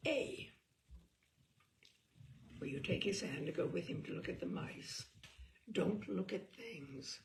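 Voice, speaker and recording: A woman reading a book aloud as a storyteller, theatrical and expressive, with a wry, arch delivery. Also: fairly low pitch, takes it slowly, gravelly, pauses often, slight background noise.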